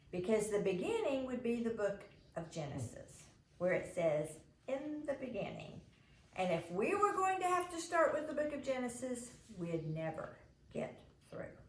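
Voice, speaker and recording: Animated woman reading a storybook, echoey room. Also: a little high. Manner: animated, dramatizing the characters' lines. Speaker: storybook reader. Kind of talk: expressive read-aloud of a children's novel, narrating as a child. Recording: echoey room with faint background noise.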